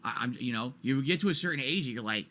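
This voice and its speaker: A man's voice: mid-range voice, expressive, fast, sharp tone. A man in his forties ranting during a stream, exasperated and repetitive, hammering his points home. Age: 40s.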